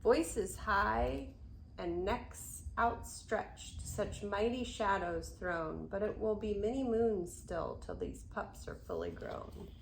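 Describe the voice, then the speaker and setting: An older woman reading a picture book aloud in an expressive, unhurried way, with chatty asides to young listeners. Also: mid-range voice, vocal fry. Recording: roomy echo, faint background noise.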